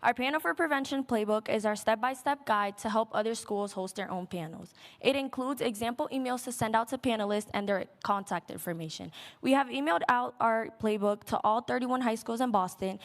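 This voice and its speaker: High school girl reading her team's project pitch, kinda high voice, spanish first language. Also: brisk, few pauses. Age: teens. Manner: reads prepared remarks steadily. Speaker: student presenter. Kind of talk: presenting a project on stage.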